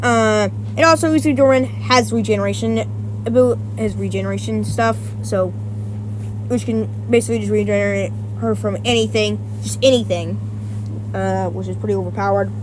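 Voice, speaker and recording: A woman's voice: mid-range voice, fairly even intonation, normal speed, background noise. A woman giving casual versus-battle commentary, rambling with many restarts and self-corrections and some casual swearing. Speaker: YouTuber.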